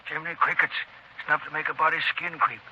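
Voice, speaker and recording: A man's voice: fairly high pitch, flat delivery, quick pace, lightly acted. An actor playing a timid, superstitious country repairman with a rural American accent, folksy and hesitant, in 1930s radio style. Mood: folksy, uneasy. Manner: hesitant, folksy drawling chatter. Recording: dull, noisy vintage 1930s radio recording.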